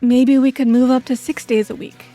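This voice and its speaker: A woman's voice: high-pitched, little pitch movement, moderate pace, dark tone, soft background music. A woman telling a personal story as scripted video essay narration.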